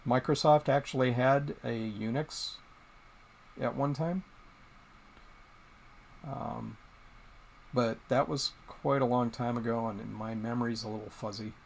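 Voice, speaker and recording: A man's voice: medium pitch, fairly even intonation, measured pace, creaky, long pauses, a little hiss or hum. Rambling man hosting a live stream. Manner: rambling, hesitant, many ums and restarts. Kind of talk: casual live stream chat.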